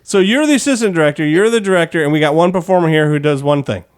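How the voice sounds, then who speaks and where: A man's voice: on the high side, quick pace, clear, resonant tone. A man hosting a podcast in casual chat, reacting with surprise and teasing his guests as he asks questions. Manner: exclaims in surprise and banters teasingly. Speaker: podcast host.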